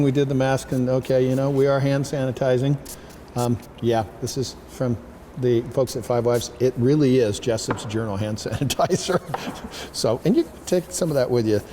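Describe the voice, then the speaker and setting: A man's voice: medium pitch, fairly even intonation, moderate pace, dark tone, a little hiss or hum. A man hosting an interview show, chatting casually with many fillers and friendly questions. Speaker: talk show host.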